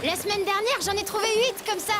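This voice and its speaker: An eager young cartoon child with a very high voice. The child explains things with keen, knowledgeable enthusiasm, with music clearly playing behind. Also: female voice, fairly quick. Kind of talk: French children's educational cartoon dubbing.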